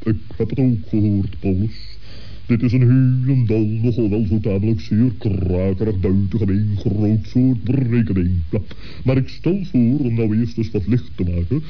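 A man's voice: lowish voice, unhurried. Man playing a pompous, muddled owl, muffled recording. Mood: pompous, concerned. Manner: pompous, wordy, muddled phrasing. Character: pompous, well-meaning male owl, ponderous and fussy. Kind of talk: children's radio play acting. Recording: dull 1960s radio play recording.

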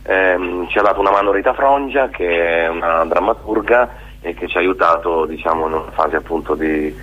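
Male interviewee, very low husky voice, reflective and hesitant conversational delivery, slightly dull radio sound. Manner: halting and reflective, often seeking agreement with tag questions. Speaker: theatre maker being interviewed.

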